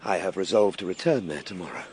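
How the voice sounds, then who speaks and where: An actor playing an earnest Victorian time-travelling explorer in a radio drama. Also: male voice, low voice, lively intonation, fast, a little mellow. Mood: resolute. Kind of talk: radio drama acting, spoken log. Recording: clearly noisy with ambience.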